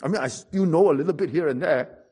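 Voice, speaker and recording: A middle-aged preacher with a Singaporean English accent delivers a sermon, mixing wry humor into his storytelling. His voice sits a little high for a man and has a husky, slightly rough texture. He drives his points home through emphatic repetition. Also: fast, darkish tone.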